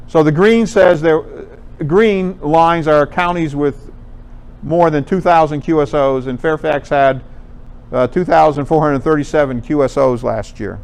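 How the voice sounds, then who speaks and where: A man's voice: on the high side, gravelly. A man giving an informal, explanatory slide presentation to a group in a conversational way. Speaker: presenter at an amateur radio club talk.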